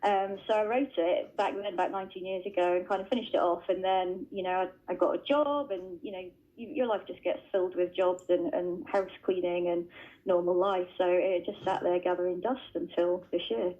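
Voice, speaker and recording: A woman speaking in a fairly low voice answers a radio host's questions from home. She chats easily, with many fillers and self-corrections. Her voice arrives over a dull, lo-fi remote line. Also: steady tone, fairly quick. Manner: chatty, many fillers and restarts. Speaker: children's author guest. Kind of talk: casual interview answers. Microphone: remote line into radio studio.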